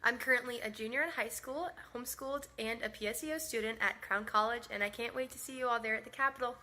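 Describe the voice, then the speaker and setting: A woman's voice: fairly high pitch, quick pace, sharp tone. A seventeen-year-old girl giving a bubbly self-introduction. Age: teens.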